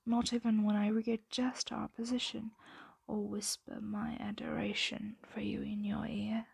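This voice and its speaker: A woman voicing a possessive, doting female dragon in an ASMR roleplay, soothing and teasing in a breathy, intimate whisper. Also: mid-range voice, completely flat delivery, measured pace, darkish tone, no echo, heavily acted. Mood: tender.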